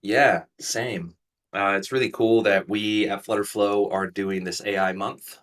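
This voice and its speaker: Guy in his 30s, raspy and stuffy, lowish voice, kinda bright, sounds a bit far from the mic, rambly self-deprecating host. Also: steady tone, measured pace. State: raspy from allergies, stuffy nose. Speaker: livestream host. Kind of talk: casual livestream chat.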